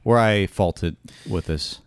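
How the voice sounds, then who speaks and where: A young man with a very low voice chats on a podcast, hesitating and circling back as he weighs his opinions. The recording is close and dry. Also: takes it slowly, smooth, dry recording. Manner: hesitant, restarts, hedging opinions. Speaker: podcast co-host. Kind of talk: casual music chat.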